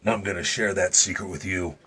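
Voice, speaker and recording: An actor playing a dying retired Secret Service agent in an audio drama, older, gruff and folksy, weary and confiding, with dry humor. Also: male voice, low voice, fairly even intonation, brisk, bright, forceful tone. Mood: secretive, serious.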